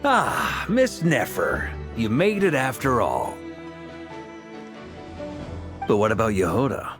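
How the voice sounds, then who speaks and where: A man's voice: medium pitch, wildly swooping pitch, a little slow, music in the background. A man playing an affable, slightly awkward knightly leader in a video game, easygoing and expansive. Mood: casual. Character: affable, casual male knightly leader hosting a gathering.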